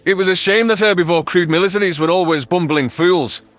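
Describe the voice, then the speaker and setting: Guy reading a sci-fi story as narrator, pretty high voice for a man, quiet music underneath. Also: brisk. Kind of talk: scripted first-person story narration.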